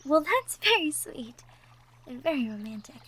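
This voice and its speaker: A woman playing a shy, sweet young cottagecore woman in love, speaking softly and hesitantly in an intimate roleplay audio drama. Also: high voice, sing-song, moderate pace, exaggerated voice. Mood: encouraging, tender. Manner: hesitant, bashful, soft-spoken, trailing off. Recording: faint background noise, birdsong effects. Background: birdsong, outdoor nature sound effects.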